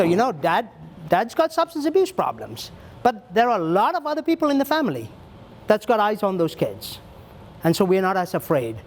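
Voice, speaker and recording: Earnest high-voiced man answering questions. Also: darkish tone. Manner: earnest, thinks aloud with fillers and restarts. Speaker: child welfare leader answering audience questions. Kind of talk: panel Q&A, conversational.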